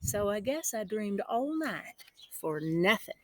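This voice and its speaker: A woman's voice: medium pitch, lively intonation, unhurried, darkish tone, no room sound. A woman voicing a spirited, boastful country child from a storybook, telling the tale in a folksy, emphatic, dramatic way. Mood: excited, indignant.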